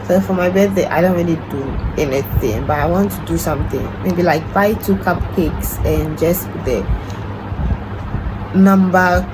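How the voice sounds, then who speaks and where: A young woman in her twenties chats through her morning at home, rambling and poking fun at herself. Her voice sits low and groggy. Steady background noise runs under the recording. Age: 20s. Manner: chatty, rambling, self-deprecating. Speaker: vlogger. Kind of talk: casual vlog chat. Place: at home, indoors.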